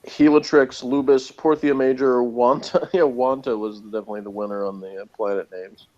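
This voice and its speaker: A man's voice: medium pitch, fairly even intonation, moderate pace, a little mellow, muffled recording. A man chatting casually and wryly as a podcast co-host, reading out notes and commenting on them.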